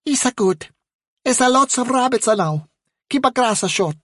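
A tiny, anxious field mouse chatters in broken English, his voice pitched far above. He twitters eagerly, keen to please, with a slightly husky edge. The storybook recording is close and dry. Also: animated, normal speed, fairly bright tone, no room sound, heavily acted. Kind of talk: audiobook character voice.

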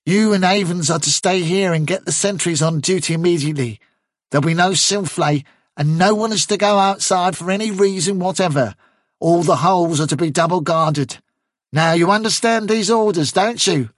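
A man's voice: high voice, normal speed, no echo, clean studio sound, voice pushed up, close to an everyday voice. A man voicing a bossy male rabbit captain in an audiobook, giving clipped military orders. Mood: brisk, commanding. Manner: clipped officer's commands.

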